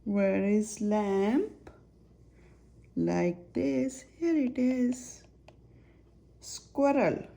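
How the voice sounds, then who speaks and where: Slow, mellow woman reading to children. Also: medium pitch, vocal fry. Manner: names items one by one, asks simple questions. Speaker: children's book reader. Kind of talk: read-aloud search-and-find picture book for children.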